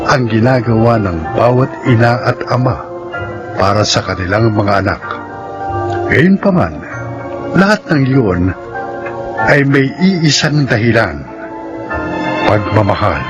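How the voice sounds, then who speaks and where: A man with a Filipino accent announcing a radio drama in a deliberate, dramatic, scripted style. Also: mid-range voice, lively intonation. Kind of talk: scripted narration and credits reading. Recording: dull broadcast sound over loud music.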